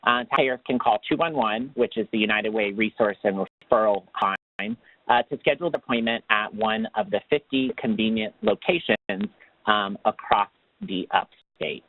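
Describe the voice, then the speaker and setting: Lowish-voiced man presenting over muffled call audio. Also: moderate pace. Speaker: nonprofit program manager as webinar speaker. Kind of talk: semi-scripted informational presentation. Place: remote webinar call. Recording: dull lo-fi call audio, very dry.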